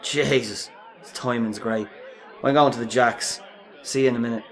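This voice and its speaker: An actor with a Dublin accent playing a jovial, easygoing pub drinker in a radio play, chatty and matey. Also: male voice, medium pitch, steady tone, normal speed, natural voice. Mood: matey banter. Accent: Irish, Dublin. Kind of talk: radio play acting in colloquial dialogue. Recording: pub crowd chatter behind.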